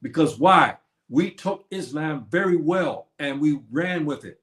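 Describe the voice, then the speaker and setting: A man's voice: fairly high pitch, expressive, measured pace, fairly bright tone, roomy sound. A man hosting a stream, lecturing on history in a preachy way and posing questions that he answers himself. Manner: preachy, asks and answers his own questions. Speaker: host. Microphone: streaming setup.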